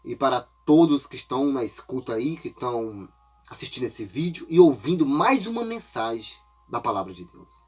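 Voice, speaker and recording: A man's voice: mid-range voice, big pitch swings, normal speed, darkish tone, slightly rough. A man preaching an informal, folksy sermon while congested with a cold, often tagging his phrases with a questioning aside.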